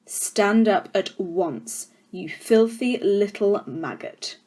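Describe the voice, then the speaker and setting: A woman's voice: mid-range voice, animated, slow, a little mellow. Storybook villain: menacing, scornful school tyrant played by a woman reader, domineering and emphatic. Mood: furious. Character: tyrannical, child-hating, menacing adult headmistress.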